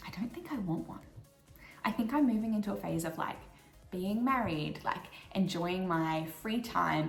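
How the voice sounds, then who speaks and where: A young adult woman with an Australian accent and a croaky morning voice, chatting casually and upbeat through her monthly goals vlog with plenty of "like" fillers. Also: mid-range voice, echoey room. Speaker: vlogger.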